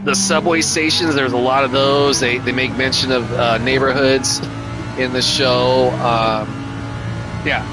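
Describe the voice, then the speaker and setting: A man's voice: medium pitch, fairly even intonation, measured pace, very noisy. A middle-aged man, tired and losing his voice, chatting casually and rambling while he races, saying "man" a lot. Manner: laid-back, rambling, says "man" a lot. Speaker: sim racing streamer. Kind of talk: casual chat while racing. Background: loud music.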